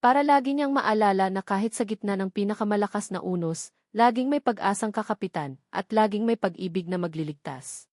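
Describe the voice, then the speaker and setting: Woman voicing a gentle, earnest young boy's father. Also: mid-range voice, brisk, no echo, clean studio sound, lightly acted. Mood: tender, nostalgic. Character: tender adult father sharing memories with his young son.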